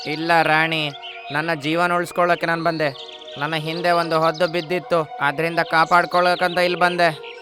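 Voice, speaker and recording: Man as small brave cartoon parrot, fairly high voice, earnest, music behind. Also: level tone, normal speed, lightly acted. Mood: pleading. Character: brave, good-hearted little parrot.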